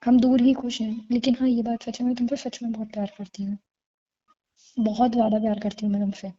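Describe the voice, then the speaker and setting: A woman's voice: fairly high pitch, little pitch movement, a little fast, mellow, close to an everyday voice. A woman playing a remorseful young woman who earnestly pleads her love in an intimate phone-call drama. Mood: sincere, resigned. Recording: dull lo-fi call recording.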